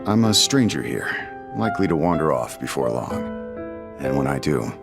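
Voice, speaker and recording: A man playing a gruff, brooding warrior loner in a game cutscene, with a gravelly voice and a curt, guarded manner. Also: a bass voice, sing-song, moderate pace, over loud music, exaggerated voice. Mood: guarded, softer. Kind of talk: dramatic video game cutscene acting.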